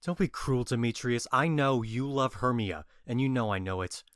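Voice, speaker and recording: A man's voice: mid-range voice, normal speed, a bit bright, no echo, studio quality, natural voice. A man playing a bewitched young lover in a modern-English Shakespeare audio drama, earnest and blunt in his declarations.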